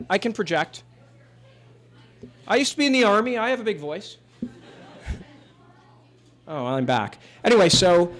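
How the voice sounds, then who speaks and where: Man, 50s, from Canada, judge, casual talk to an audience, wry, conversational. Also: on the high side. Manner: conversational and wry, with fillers and light laughs. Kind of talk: relaxed storytelling talk to a live audience. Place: room with an audience.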